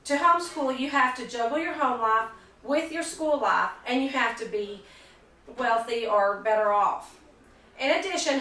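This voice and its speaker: A woman's voice: a little high, sharp tone. Woman giving a class speech in an echoey room. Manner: earnest, halting, with restarts. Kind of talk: persuasive speech. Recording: echoey room, mic far off.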